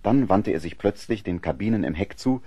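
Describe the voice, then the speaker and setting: An actor as the sober narrator of a sea adventure radio play, giving measured, scripted storytelling. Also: male voice, lowish voice, expressive, mellow, slightly rough, dry recording.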